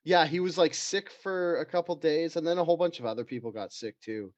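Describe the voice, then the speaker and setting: Profane joking man, slightly high voice. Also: brisk, no echo, dull audio. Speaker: podcast co-host. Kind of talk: casual chat.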